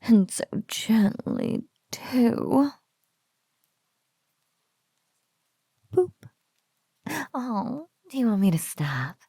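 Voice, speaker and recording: Sultry spider-woman bully, acted by a woman, breathy, lively swoops, teasing whispers, smug menace, ASMR roleplay. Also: fairly high pitch, no echo, clean studio sound, exaggerated voice. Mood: playful, mocking. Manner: slow, teasing, mock-pitying coos, slipping into whispers. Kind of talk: intimate ASMR roleplay acting.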